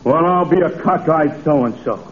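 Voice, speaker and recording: An actor playing a bossy, sarcastic tough cop who talks gruffly and accusingly, in old radio comedy style. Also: male voice, on the high side, measured pace, close to an everyday voice. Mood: exasperated. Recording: tinny, faintly noisy old radio recording.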